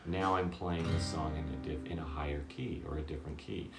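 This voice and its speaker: A man with a very low voice giving a casual guitar lesson, checking in often with "okay?" and "does that make sense?". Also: steady tone, fairly quick, reverberant. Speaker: guitar teacher.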